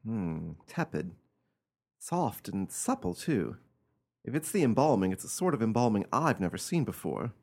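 A man acting in a radio play as a nervy, high-handed gentleman with an agitated, melodramatic and commanding manner. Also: sing-song, moderate pace. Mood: uneasy curiosity.

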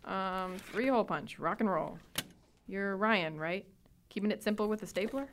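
A woman's voice: mid-range voice, moderate pace, a bit bright, lightly acted. Woman playing wry teenage girl, faint noise. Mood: wry, playful. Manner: wry, flippant, chatty. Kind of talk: audio drama acting.

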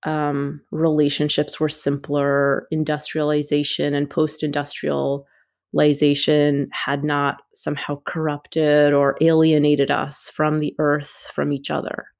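A woman author being interviewed, speaking in a thoughtful, measured way with frequent pauses. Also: deep voice, completely flat delivery, a little mellow. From United States. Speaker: author guest. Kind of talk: reflective interview talk.

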